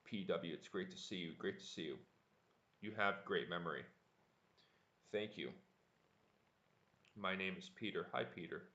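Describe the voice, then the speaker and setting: A man teaching English on a livestream, speaking deliberately and repeating words for learners. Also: low-pitched, pushed and bright, lo-fi sound. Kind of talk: live online language lesson, answering viewer chat. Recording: echoey room.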